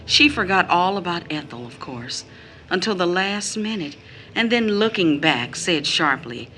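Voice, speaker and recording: An actress playing a bitter, wounded mother in an audio drama, phrasing deliberately as she recounts her child's mistreatment. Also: on the low side, sharp tone, a little hiss or hum. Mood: bitter, pained. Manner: deliberate phrasing, quotes others in mimicked tones.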